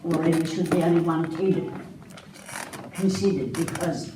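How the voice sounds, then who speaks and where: Woman projecting from the gallery, lowish voice, very echoey and far off, noisy. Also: fairly even intonation, measured pace. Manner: insistent, projecting without a mic. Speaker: member of the public gallery. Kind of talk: speaking up from the gallery. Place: council chamber gallery. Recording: very echoey, far from the mic, audible hiss.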